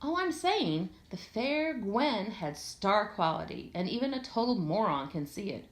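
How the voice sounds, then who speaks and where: A woman's voice: mid-range voice, sing-song, unhurried. Woman reading a story in an echoey room. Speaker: audiobook reader. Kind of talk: expressive first-person story reading.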